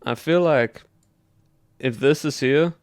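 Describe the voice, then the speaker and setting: A man's voice: mid-range voice, takes it slowly, no room sound, clean studio sound. Man with a put-on Southern drawl. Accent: put-on American Southern. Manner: loose drawl, musing aloud. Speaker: streamer. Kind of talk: casual livestream chat with viewers.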